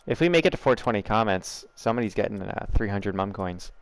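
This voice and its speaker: A man's voice: fairly low pitch, lively intonation, fast, clear, resonant tone, dry recording. A young American man with a General American accent, chatting loosely and laughing as he streams a game and talks to his chat. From United States. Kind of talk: casual livestream chatter over gameplay.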